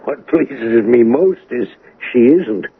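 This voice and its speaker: An actor playing a teasing, mildly exasperated older American husband in a 1980s radio drama, with a folksy manner. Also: male voice, medium pitch, animated, slow, husky, full character voice. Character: teasing, good-humoured older husband on a cruise. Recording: tinny, faintly noisy old radio recording.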